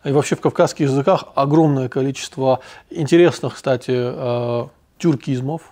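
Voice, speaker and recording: A man from North Ossetia hosting a conversational podcast, speaking thoughtfully with frequent pauses and fillers. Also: mid-range voice, steady tone, darkish tone. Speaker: podcast host.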